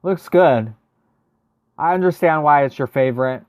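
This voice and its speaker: A man's voice: fairly high pitch, normal speed, clear, resonant tone, no echo, clean studio sound. A man, chatting casually as a livestream host, thinking aloud and answering viewers. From United States. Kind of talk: casual livestream gameplay chat.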